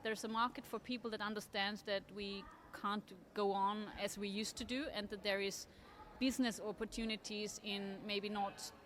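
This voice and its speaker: Casting: woman, articulate, measured, mid-pitched interview guest. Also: level tone, fairly bright tone, a little hiss or hum. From Austria. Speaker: interview guest, trend forecaster. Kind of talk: conversational interview. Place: at a table at a design fair.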